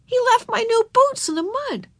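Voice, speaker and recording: High-pitched, whiny little kindergarten girl. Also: big pitch swings, moderate pace, a bit bright, full character voice. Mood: wailing. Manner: whiny, wailing delivery. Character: small kindergarten girl, tearful, stubborn and earnest.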